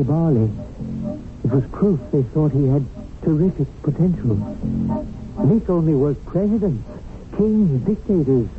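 A man's voice: on the high side, natural voice. A man playing an earnest, anxious agent of good who narrates his own tale in a radio drama. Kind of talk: radio drama acting and first-person narration. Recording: phone-like old radio recording, music underneath.

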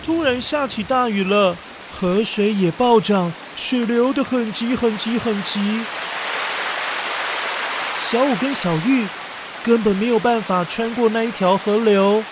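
A man narrates a children's story in an expressive, dramatic way, with exclamations and sound words. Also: high voice, a little slow, noisy background. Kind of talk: animated scripted children's storytelling. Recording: music behind the voice. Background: music.

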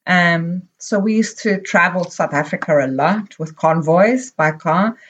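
A middle-aged woman from Zimbabwe, a podcast guest recalling her life abroad in an unhurried, meandering way with many pauses and fillers. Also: fairly low pitch, breathy edge, dull audio. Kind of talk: casual interview conversation.

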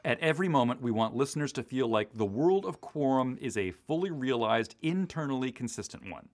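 An American man speaks as a podcast co-host in a conversational style. His pitch moves around freely, giving his explanations a lively contour. He is articulate and sets things out clearly. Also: a little fast. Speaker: podcast co-host and audio drama writer.

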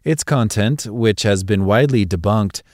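A man narrating a written news article in a measured, formal, scripted read-aloud delivery. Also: lowish voice, a little mellow, dry recording, clean studio sound.

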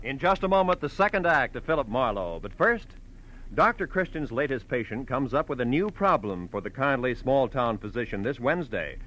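A man's voice: medium pitch, expressive, fairly quick, highs cut off. A man with an American accent announcing a scripted promo in 1940s radio style. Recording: noisy old radio recording.

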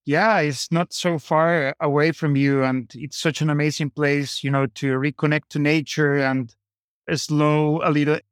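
A man with a Latin American Spanish accent and a smooth, clear voice pitched slightly high. He speaks conversationally as an interview guest, in a dry recording. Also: little pitch movement, measured pace, no echo. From Colombia, grew up in Costa Rica. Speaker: podcast guest, company founder.

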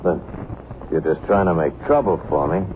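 An actor playing a big, surly, menacing crook, curt and threatening, in an old-time radio drama. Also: male voice, sits low, normal speed, natural voice. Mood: menacing, defensive. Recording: dull, noisy vintage radio recording.

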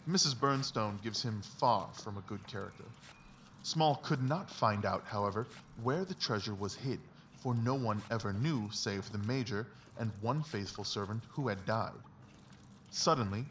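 A man's voice: lowish voice, fairly bright tone. A confident Victorian detective acted by a man lays out his reasoning like a lecture, precise and emphatic. He sounds set back from the microphone, with faint noise behind him, in the manner of a dramatised audiobook. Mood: intent reasoning. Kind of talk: dramatised literary audiobook dialogue. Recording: distant mic, faint background noise.

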